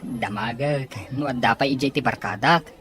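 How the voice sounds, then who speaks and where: A woman's voice: extremely low pitch, moderate pace. A woman playing a chatty, obliging office underling in a serial drama, over music. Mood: friendly, probing. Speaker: actor in a Filipino serial drama. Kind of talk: acted drama dialogue. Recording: music behind the voice.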